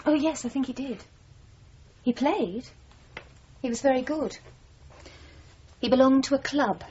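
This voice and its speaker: An actress playing a composed, wry young dancer in a radio drama, with a cool, reserved way of talking. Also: female voice, high voice, unhurried, darkish tone, husky, heavily acted. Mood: wry, reminiscing. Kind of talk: naturalistic radio drama acting. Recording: dull, noisy old radio broadcast.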